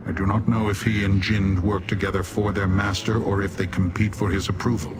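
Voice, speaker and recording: A haughty demon warrior from a fantasy video game, played by a man, speaks in a low voice. He is stern, formal and commanding, with music clearly playing behind him. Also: little pitch movement, natural voice. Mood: stern, dismissive. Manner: formal, commanding, deliberate phrasing. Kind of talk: scripted fantasy game dialogue.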